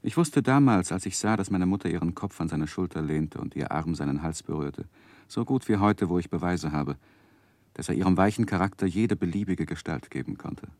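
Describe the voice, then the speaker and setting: Male actor as wistful grown man narrating his childhood for radio play: deep voice, measured, close dry studio. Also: a little mellow, no echo, natural voice. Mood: grave, reflective. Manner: measured, reflective. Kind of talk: scripted literary narration, 1960s German radio drama.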